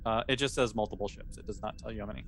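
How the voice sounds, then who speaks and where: A man casually improvising narration as the game master of a tabletop role-playing game, with conversational, halting phrasing. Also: lowish voice, steady tone, crowd noise. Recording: clear background noise.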